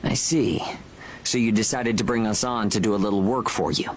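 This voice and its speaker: A man's voice: on the low side, normal speed, slight background noise, lightly acted. An actor playing a cocky, pragmatic young male mercenary in a video game, talking in a casual, blunt, self-assured way. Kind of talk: video game cutscene acting. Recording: game music behind the voice.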